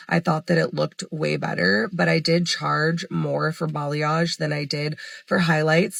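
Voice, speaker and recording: A woman in her thirties tells her own story casually, her voice stuffed up and congested. Her tone is heavily gravelly with constant fry, and her pitch stays flat as she rambles along with "like" and "you know". The recording is dry, with no room sound. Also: dry recording. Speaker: former hairdresser sharing her story.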